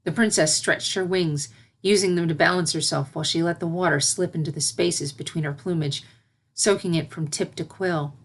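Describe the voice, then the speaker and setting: A woman's voice: lowish voice, fairly even intonation, normal speed, husky. A woman narrating a scripted story in the style of a storybook reading. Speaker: narrator, fan fiction reader.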